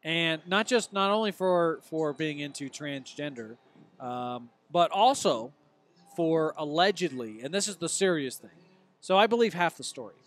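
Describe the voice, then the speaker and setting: Teasing higher-voiced man calling plays. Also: expressive, normal speed. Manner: teasing, argumentative banter. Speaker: host. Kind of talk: casual sports chat with play-by-play.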